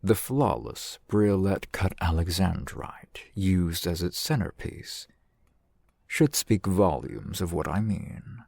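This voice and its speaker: A man's voice: low-pitched, gravelly, no echo, heavily acted. A man playing a courteous, shrewd fantasy shopkeeper in an ASMR roleplay, with formal, eloquent merchant patter. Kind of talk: ASMR fantasy roleplay, softly acted one-sided dialogue.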